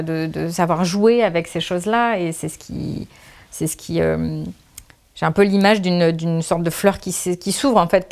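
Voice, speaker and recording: Lady author, kinda low voice, lots of euh and restarts as she thinks. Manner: thinks aloud with many restarts, fillers and trailing phrases. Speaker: author, guest. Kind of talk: casual spoken interview answers.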